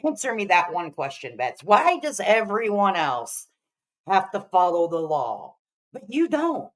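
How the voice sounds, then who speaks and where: A woman's voice: mid-range voice, very animated, a little slow. Blunt, scolding woman doing reaction commentary.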